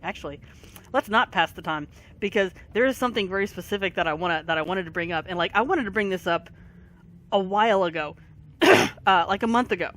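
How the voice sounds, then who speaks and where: Tired rambling voice, bright tone. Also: mid-range voice. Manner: rambling, many pauses and fillers. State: tired and sleepless; voice affected by allergies. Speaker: game video creator. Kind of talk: casual game commentary.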